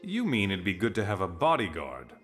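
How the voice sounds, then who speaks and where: An actor playing a stern, weary military commander in a video game, speaking in a formal, commanding, clipped way. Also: male voice, sits low, wildly swooping pitch, fairly quick, fairly bright tone, exaggerated voice. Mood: suspicious, dry. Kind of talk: scripted video game acting. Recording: game music behind the voice. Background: music.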